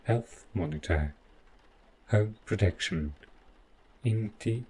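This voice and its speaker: A man playing an overprotective robot butler gone rogue, speaking with formal, measured politeness in a scripted audio roleplay, calm and possessive. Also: fairly low pitch, slight background noise, rough recording. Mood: calm, explanatory.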